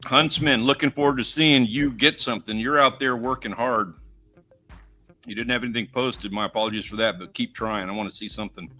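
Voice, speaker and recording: A man's voice: medium pitch, fairly even intonation, brisk, sharp tone, soft background music. An American man co-hosting a casual outdoors livestream, chatty and laid-back as he reads out viewer names and runs polls. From United States. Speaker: livestream co-host.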